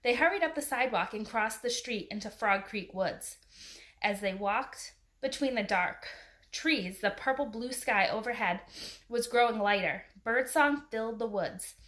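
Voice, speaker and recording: Higher-pitched woman reading a children's book. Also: expressive, measured pace, fairly bright tone. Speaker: storybook reader. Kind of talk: children's book read aloud.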